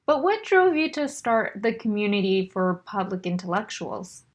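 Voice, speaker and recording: A woman's voice: medium pitch, sing-song, moderate pace. A woman co-hosting a podcast, asking the guest friendly, conversational questions. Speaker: podcast co-host. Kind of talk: casual interview questions.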